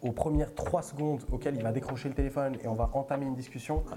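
A man's voice: medium pitch, fairly even intonation, quick pace. A man in his thirties, a native Italian speaker, co-hosting a podcast, chatting casually with many fillers and restarts. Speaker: podcast co-host. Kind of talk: casual chat.

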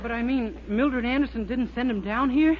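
A man's voice: very high voice, moderate pace, over a noisy crowd. A man playing a naive, eager young store clerk with earnest, innocent comic timing in a 1940s radio sitcom. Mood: dismayed. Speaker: comic actor. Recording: muffled, very noisy 1940s radio recording.

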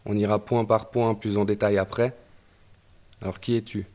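A man hosting a podcast, reading a scripted intro and then asking a guest clear, structured questions. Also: on the low side, level tone, normal speed, a little mellow. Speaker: podcast host. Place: startup incubator office.

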